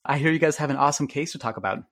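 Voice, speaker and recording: A man's voice: on the high side, animated, racing, a bit bright, no echo, clean studio sound. A man co-hosting a podcast, moving between a scripted intro and casual chat. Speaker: podcast co-host.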